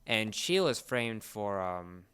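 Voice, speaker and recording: A young man co-hosting a podcast in casual chat, often halting as he searches for words. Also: fairly low pitch, sing-song, pushed and bright, clean tone. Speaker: podcast co-host. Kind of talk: casual chat about entertainment.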